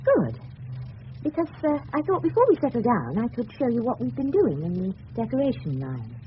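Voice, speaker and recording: Gushing English suburban wife, coaxing and genteel; radio drama, dull, noisy old recording. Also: female voice, on the high side, huge pitch swings, normal speed. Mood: gushing, chatty. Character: seductive suburban married woman, gushing genteel hostess. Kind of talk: 1970s radio drama acting.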